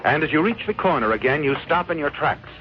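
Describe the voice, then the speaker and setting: An actor playing the ominous narrator of an old radio crime thriller, speaking deliberately and with suspense in a 1940s style. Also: male voice, a little high, very animated. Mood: suspenseful. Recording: muffled old radio recording with music. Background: music.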